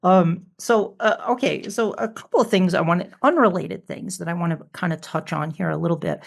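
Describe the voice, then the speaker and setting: A podcast host chatting casually, halting and backtracking while putting thoughts together. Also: medium pitch, gravelly. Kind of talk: casual chat.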